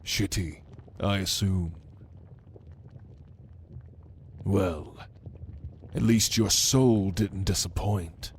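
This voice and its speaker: A man playing a haughty, hungry demon who was a prince's right hand, speaking slowly and intimidatingly to the listener in an ASMR audio roleplay. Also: low-pitched, slight background noise. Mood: musing, sardonic. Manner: slow, deliberate, intimidating, dryly teasing.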